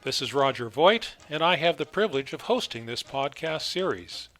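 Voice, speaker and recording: A man's voice: on the high side, animated, normal speed, fairly bright tone, faint music behind. A man speaking as the host of an interview podcast. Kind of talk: scripted introduction, then conversational interviewing.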